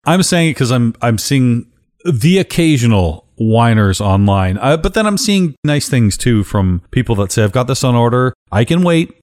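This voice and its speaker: A man hosting a casual podcast chat, with a deep voice and a joking, blunt way of talking that drops casual swearing. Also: sing-song, normal speed, a little mellow. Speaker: podcast host.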